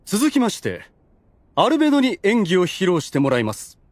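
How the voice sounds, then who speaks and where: A man's voice: high voice, huge pitch swings, moderate pace, a little hiss or hum. A man playing a formal announcer presenting performers in an anime audio drama.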